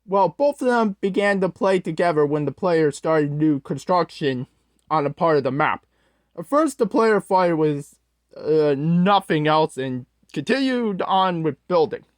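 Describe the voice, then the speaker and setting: An awkward, easily flustered storyteller character acted out by a performer reads a scary tale from a script in a halting way. The pitch moves around a lot, and the sound is close and dry. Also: lively intonation, dry recording, natural voice. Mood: narrating. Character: Strong-Arm, awkward, scatterbrained storyteller host character. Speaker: storyteller host. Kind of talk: reading a scripted creepypasta story aloud.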